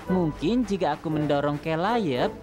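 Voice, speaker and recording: A woman's voice: low voice, expressive, moderate pace, over music. A woman voicing an earnest, hardworking young male steam engine in a children's cartoon dub, eager, determined and polite. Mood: hopeful, determined.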